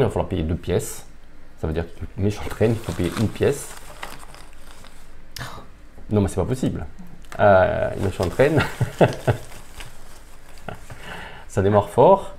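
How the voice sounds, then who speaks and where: A man's voice: lowish voice, creaky, warm tone. A man presenting and playing a board game in a casual, unscripted demonstration, thinking aloud hesitantly as he explains. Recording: background noise, room ambience.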